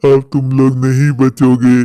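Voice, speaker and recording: Man voicing a gloating, drawn-out cartoon devil. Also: mid-range voice, monotone, dark tone, dry recording, voice pushed down, close to an everyday voice. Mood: menacing. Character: gloating hungry man-eating devil brother, menacing and gleeful. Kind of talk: cartoon horror story villain.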